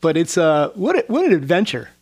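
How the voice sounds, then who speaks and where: A man's voice: a little high, wildly swooping pitch, a little fast, darkish tone, husky. A man in his forties hosting a casual interview in a chatty, digressive way. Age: 40s. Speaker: interviewer, show host.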